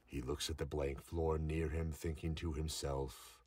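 A man's voice: very deep voice, little pitch movement, a little slow. A man narrating a scripted audio drama, recorded at some distance from the microphone with noise behind him. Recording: distant mic with background noise.

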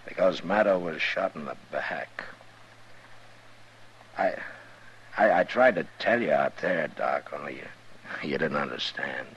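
An actor playing a steady, commanding Old West marshal in a 1950s radio drama, plainspoken and deliberate. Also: male voice, extremely low pitch, slightly rough, heavily acted. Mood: calm, reassuring. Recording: muffled, lightly hissy old radio recording.

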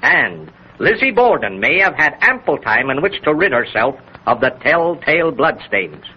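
A man's voice: medium pitch, very animated, moderate pace, breathy edge. A man acting a stern professional man in a murder drama, declamatory and emphatic, in 1930s radio style. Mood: forceful, measured. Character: stern 1890s doctor and district attorney in a murder drama. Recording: muffled, noisy 1930s radio recording.

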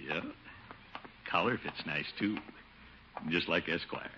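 A man's voice: low voice, takes it slowly, sharp tone, full character voice. A man playing a vain, pompous, blustering middle-aged uncle in a 1950s radio comedy. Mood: self-admiring. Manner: pompous, self-satisfied, chuckling bluster. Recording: dull old radio recording, faint noise.

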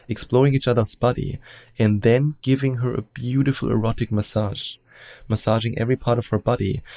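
A man with a German accent, a relationship coach giving measured advice as an interview guest, often hedging with "let's say". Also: fairly low pitch, warm tone, no echo. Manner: explanatory, measured, hedges with "let's say".

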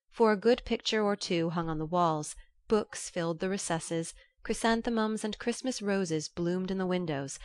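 A woman's voice: fairly low pitch, dry recording, clean studio sound. A woman reading literary fiction as an audiobook narrator.

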